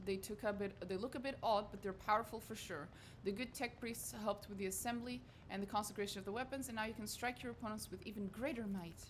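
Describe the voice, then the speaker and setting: A woman's voice: mid-range voice, slight background noise, lightly acted. A woman reading aloud the lines of a fawning young male crew spokesman, in flowery, formal praise. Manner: reads game dialogue aloud, flowery and formal.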